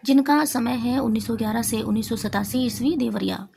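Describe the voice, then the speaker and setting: Higher-pitched woman teacher, noisy recording. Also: brisk, warm tone. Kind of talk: exam revision lesson, reading out questions, answers and lists. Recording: clearly noisy amateur recording.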